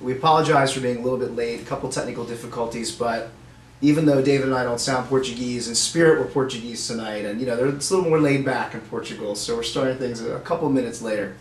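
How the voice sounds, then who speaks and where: A middle-aged man hosts a live webcast in an easy, upbeat manner, dropping fillers as he goes. His mid-pitched voice rings in a roomy space, with a faint hiss underneath. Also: quick pace. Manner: chatty, upbeat, many fillers. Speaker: host.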